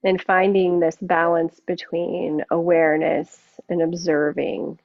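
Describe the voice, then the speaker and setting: A woman yoga teacher gently guiding a relaxation practice, unhurried and leaving long pauses between phrases. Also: lowish voice, level tone, no room sound. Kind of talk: guided yoga and relaxation instruction. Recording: muffled narrow phone-like sound.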